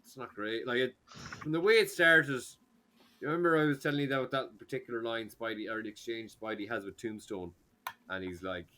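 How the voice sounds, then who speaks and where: Rambling Irish man, bright voice. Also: medium pitch, a little fast, a little hiss or hum. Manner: rambling, hesitant, with many fillers. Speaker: podcast co-host. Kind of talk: casual chat.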